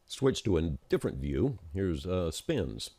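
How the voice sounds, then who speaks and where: A man's voice: low-pitched, big pitch swings, a little slow, mellow, husky, no echo. A man giving an informal screencast tutorial, explaining each click step by step in an instructional way, recorded as a close-miked voice-over.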